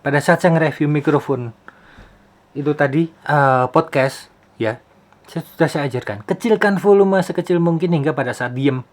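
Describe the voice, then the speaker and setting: A man's voice: on the high side, normal speed. A man giving a casual tutorial as a video host, explaining things with rhetorical questions and repeated phrases. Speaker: YouTube host, film soundman.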